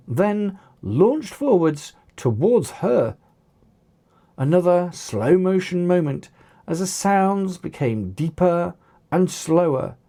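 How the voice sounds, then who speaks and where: A narrator reading an action story as its author, with animated, dramatic delivery and acted dialogue. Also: mid-range voice. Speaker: author narrating own book.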